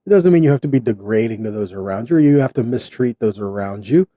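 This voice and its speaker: Very dark, mellow-voiced man preaching. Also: medium pitch, expressive, fast talker, dry recording, studio quality. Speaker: Bible teacher and preacher. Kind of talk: sermon-style Bible teaching.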